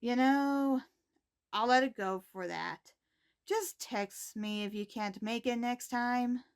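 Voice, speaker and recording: A woman playing a poised, caring high school principal, giving scripted announcements in a measured, formal manner. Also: clear, resonant tone. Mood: mildly scolding. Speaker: host reading school announcements.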